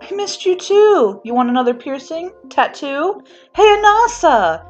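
A woman voicing a brash, affectionate young woman tattoo artist, loud and teasing, in a story reading. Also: high voice, expressive, normal speed, highs cut off, soft background music, pitched above the performer's own voice.

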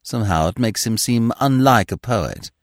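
Man voicing brisk titled lady, matter-of-fact, near his own voice. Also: medium pitch, expressive, no room sound, clean studio sound, pitched above the performer's own voice, lightly acted.

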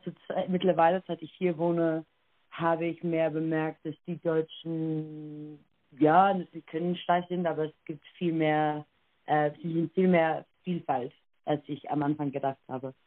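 Irish woman speaking German haltingly. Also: low-pitched, no room sound. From Ireland. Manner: hesitant, many filler sounds and self-corrections. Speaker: interview guest. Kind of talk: casual interview answers. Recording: tinny phone-like line, dry.

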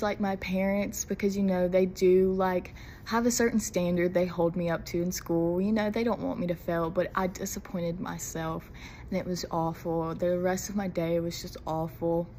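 A woman's voice: fairly low pitch, fairly even intonation, moderate pace, warm tone, a little hiss or hum. A young woman from Mississippi, hosting her first podcast episode in a casual, nervous, giggly, rambling way. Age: young adult. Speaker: podcast host. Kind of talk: casual solo podcast chat.

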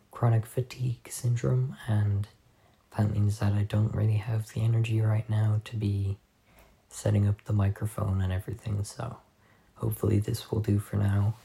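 A hoarse man hosting a casual solo podcast at home, soft-spoken and halting, recorded on a phone voice memo. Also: fairly low pitch. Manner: soft-spoken, halting, drifting off mid-sentence. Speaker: podcast host.

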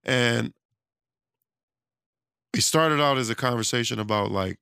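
Gravelly-voiced man losing his voice. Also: medium pitch, unhurried, sharp tone, no echo, studio quality. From United States. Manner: loose, rambling, conversational, with many restarts and fillers. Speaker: self-employed studio owner. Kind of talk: casual monologue.

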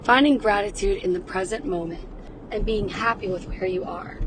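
An upbeat woman, her voice slightly raspy from days of cheering, talks in a warm, encouraging way as a wellness podcast host. She records from inside a moving car. Road noise hums clearly underneath her voice. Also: mid-range voice, moderate pace. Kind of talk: wellness podcast, guided breathing.

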